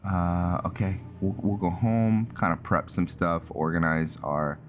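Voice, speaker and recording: A man giving casual roleplay gameplay commentary, thinking aloud in a halting way as he goes. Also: deep voice, faint music behind. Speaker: gaming channel host.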